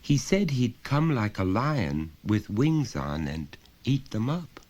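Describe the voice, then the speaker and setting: A man voicing a small, earnest, stubborn little boy in a storybook reading, softly and simply spoken. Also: medium pitch, sing-song, takes it slowly, muffled recording, voice pushed up. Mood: timid, defiant.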